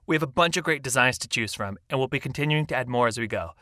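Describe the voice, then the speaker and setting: A man's voice: medium pitch, lively intonation, fast talker, pushed and bright, no echo. A man reading a scripted podcast merch promo in an upbeat, enthusiastic manner, close on the mic.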